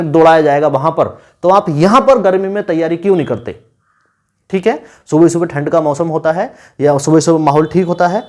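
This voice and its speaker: A man with a fairly high-pitched voice talks as an online exam guide. He instructs candidates informally and repeats key points to drive them home. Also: a little mellow. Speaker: exam-guidance YouTuber advising police recruitment candidates. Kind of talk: informal instructional video talk.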